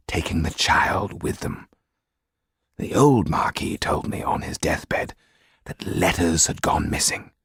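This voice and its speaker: A man voicing a weary, dignified old lawyer in a breathy, husky, halting voice for an audiobook. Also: sits low, no room sound, studio quality, exaggerated voice.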